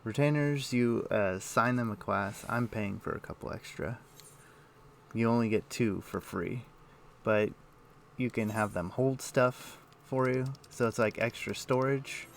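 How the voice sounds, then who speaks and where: A man streaming a game, chatting casually and thinking aloud in a halting, muttering way as he plays. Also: mid-range voice, a little hiss or hum. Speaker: game livestreamer. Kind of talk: casual livestream chat with gameplay commentary.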